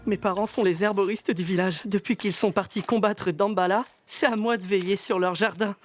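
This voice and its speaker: A woman voicing an eager, boastful young boy herbalist in an animated series. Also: medium pitch, normal speed, lightly acted. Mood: proud. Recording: clear background music.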